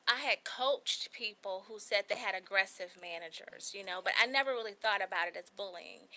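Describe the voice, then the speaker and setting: A woman's voice: medium pitch, bright, forceful tone. A woman in her forties with a small, light voice, telling her own story as a guest on a talk show, earnest and candid. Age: 40s.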